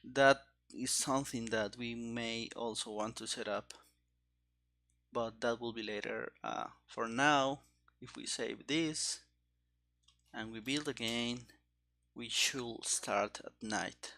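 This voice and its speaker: A man thinking aloud in short, halting phrases during a casual live coding session, as a programmer streaming game development. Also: medium pitch, steady tone, pushed and bright.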